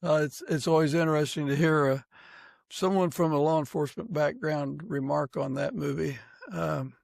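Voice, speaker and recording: A man's voice: a little high, normal speed, gravelly, a little mellow, no room sound, clean studio sound. A man hosting a podcast, asking questions and commenting in a casual, conversational way. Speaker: podcast host, interviewer.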